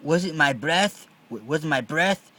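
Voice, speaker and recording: A man's voice: fairly high pitch, expressive, normal speed, sharp tone. A man voicing an odd, over-devoted nerdy boy who pleads grandiosely in a storybook read-along. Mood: pleading.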